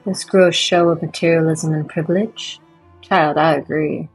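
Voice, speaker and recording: An elderly owl woman from a fantasy audio drama. Her voice sits low, sly and provoking. She insinuates as if she knows more, over faint music. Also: fairly even intonation, normal speed, breathy edge, rough recording. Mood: scornful.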